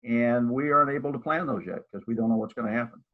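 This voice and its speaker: A man's voice: medium pitch, fast. An older man, a real estate broker, talking casually in a live video-call interview, rambling with many restarts and fillers. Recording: tinny phone-like audio.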